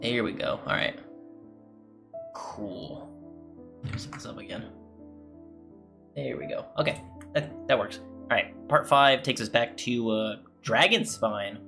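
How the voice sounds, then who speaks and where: A man's voice: on the low side, a little slow, pauses often. A man giving casual, rambling, laid-back commentary as he streams a game. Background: game music.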